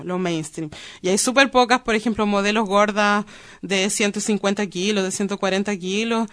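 A woman's voice: medium pitch, a bit bright. A woman speaking as a guest in a casual interview, informal and colloquial, often repeating words for emphasis.

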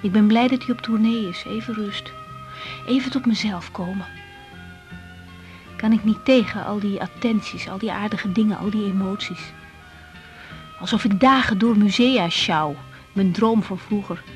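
A woman's voice: mid-range voice, moderate pace, pauses often, faint music behind, close to an everyday voice. An actress with a Netherlands Dutch accent playing an insecure, outspoken young woman doctor in a radio drama. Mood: tender, unsure. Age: young adult. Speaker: lead actress. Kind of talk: 1980s radio drama acting.

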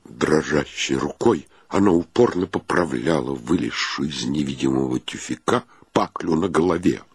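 A man narrating a radio play in an expressive, theatrical, literary storytelling manner. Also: extremely low pitch, moderate pace, slightly rough, no echo, studio quality.

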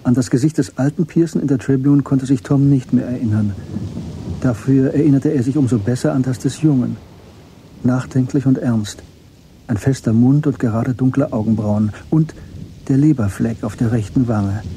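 A man narrating a scripted radio drama in measured literary prose. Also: medium pitch, warm tone, a little hiss or hum, poor audio.

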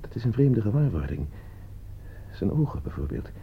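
A man's voice: on the low side, big pitch swings, normal speed, gravelly, a little hiss or hum. An actor playing a cool, calculating man in a radio play, speaking with composed, provocative deliberation. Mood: calm, narrating. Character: cool, calculating man staging a deadly experiment. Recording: dull, muffled old radio play recording.

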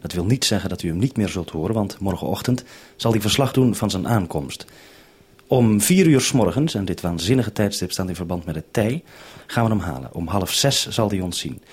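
A man's voice: deep voice, lively intonation, brisk, darkish tone, breathy edge. A man with a Netherlandic Dutch accent presenting and interviewing over a live radio link in a vintage 1970s broadcast.

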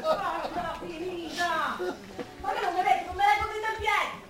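A blunt Roman market woman selling flowers, high-pitched and exasperated. She nags and hawks emphatically in a stage comedy-drama, heard from far off in a very echoey, noisy space. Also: normal speed, crowd noise. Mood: bossy. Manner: nagging, exasperated, emphatic street-vendor delivery. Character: blunt, scolding working-class flower seller, Franca's practical sister. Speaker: stage actress. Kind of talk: theatrical Italian dialect comedy-drama. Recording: roomy, noisy live recording from far off.

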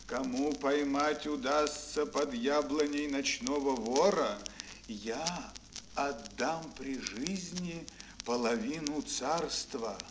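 An actor playing a sorrowful old king in an audio fairy-tale play, speaking in a solemn, weighty, deliberate way. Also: medium pitch. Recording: noisy old mono record with clicks.

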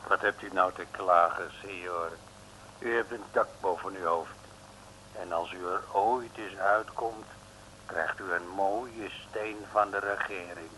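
An actor playing a sarcastic, curt prison guard in an old comic radio play. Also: male voice, deep voice, steady tone, measured pace, sharp tone. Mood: smugly menacing. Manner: curt, mock-polite, threatening. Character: gruff sarcastic Italian male prison guard. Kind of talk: 1950s-60s comic radio play acting. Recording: noisy old radio recording.

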